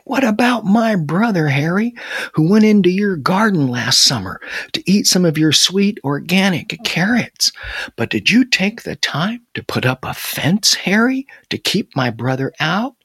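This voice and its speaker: A man's voice: fairly low pitch, sing-song, gravelly, sharp tone, husky, no echo, full character voice. A man voicing a big furry woodchuck in a story, scornful and accusing, nursing a grudge. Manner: scornful, accusing, drawn-out taunting.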